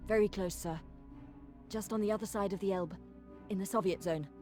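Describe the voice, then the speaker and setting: Actress as crisp female military aide. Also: medium pitch, brisk, darkish tone, faint music behind, close to an everyday voice. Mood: confident. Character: capable, composed female military intelligence aide. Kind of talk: video game cutscene acting.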